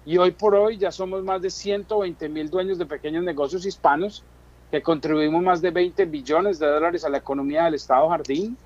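A man's voice: fairly high pitch, fairly even intonation, a little fast, dull audio. A middle-aged man with a Colombian accent, telling his own story as an interview guest in conversational Spanish. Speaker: interview guest, business chamber chairman.

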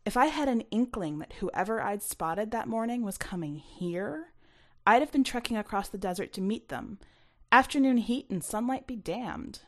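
A woman's voice: on the high side, expressive, moderate pace. A woman reading her own short story aloud as scripted first-person narration.

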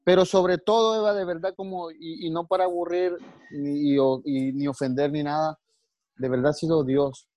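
Earnest Salvadoran man, mellow voice. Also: a little high, level tone, normal speed, no echo. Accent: Salvadoran Spanish. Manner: earnest, many restarts and religious asides. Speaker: guest martial arts coach. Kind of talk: interview.

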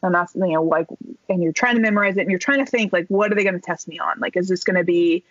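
A woman in her thirties telling a story as a podcast guest, talking in a casual, animated way with lots of fillers and the odd swear word. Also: fairly low pitch, quick pace, no echo. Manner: animated, chatty, many fillers and swear words. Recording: dull dry podcast recording.

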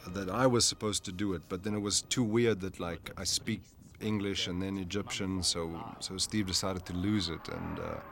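A man's voice: low-pitched, lively intonation, moderate pace, few pauses, a bit bright. A man, an actor in the film, chatting casually and jokingly while commenting on it. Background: film soundtrack music.

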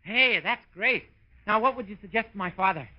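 Actor as a high-voiced, guileless young man. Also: moderate pace, natural voice. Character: eager, naive young man buying gifts, oddly matter-of-fact. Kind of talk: 1950s old time radio comedy acting. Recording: dull, very noisy vintage radio recording.

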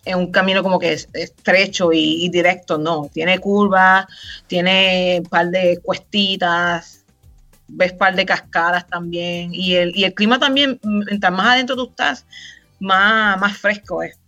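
A woman's voice: on the low side, a little slow. A Puerto Rican woman in her thirties, a podcast guest chatting casually and at length, with many fillers. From Puerto Rico. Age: 30s. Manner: chatty and relaxed, rambling with fillers. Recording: slightly muffled, faint music behind.

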